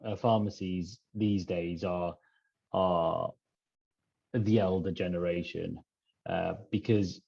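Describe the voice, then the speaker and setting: A man talking through ideas in a conversational podcast discussion, in a halting, thinking-aloud manner. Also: deep voice, steady tone, vocal fry, a little mellow, slightly rough, lo-fi sound. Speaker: podcast regular discussing pharmacy business.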